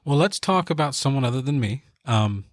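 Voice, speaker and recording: A man hosting a podcast interview, talking in a halting, hesitant way with many fillers. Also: medium pitch, animated, no echo, clean studio sound. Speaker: podcast host. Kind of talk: casual interview chat.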